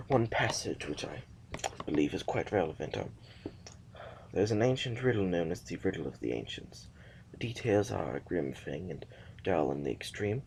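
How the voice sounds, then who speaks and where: A man's voice: sits low, vocal fry, a little mellow, a little hiss or hum, full character voice, rough recording. A man acting as a reluctant, argumentative intellectual rebel in an audio drama, hesitant and wordy, anxious to survive. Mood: reflective.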